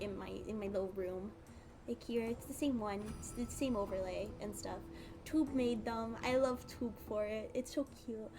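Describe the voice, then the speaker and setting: A woman game streamer chatting casually, in an informal, rambling way. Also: a little high. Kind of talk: casual livestream chat.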